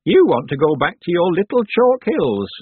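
Storybook old witch done by a male reader: stern, dry, lively swooping delivery, thin phone-like sound. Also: high-pitched, slightly rough, no echo, voice pushed up, exaggerated voice. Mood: brisk, matter-of-fact. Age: over 100. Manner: brisk, stern, calm. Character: ancient imperious witch, woman, drily matter-of-fact.

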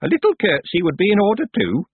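A man voicing a very old, proud and theatrical woman witch with a high, crotchety voice. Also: big pitch swings, fast, breathy edge, no room sound, heavily acted. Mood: bossy. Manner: bossy and showy. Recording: tinny phone-like, dry sound.

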